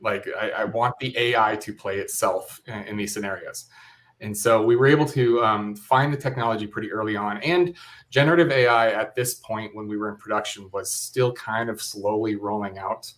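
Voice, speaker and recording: A man's voice: fairly low pitch, normal speed, fairly bright tone. A man talking casually as an interview guest, a director explaining a creative project.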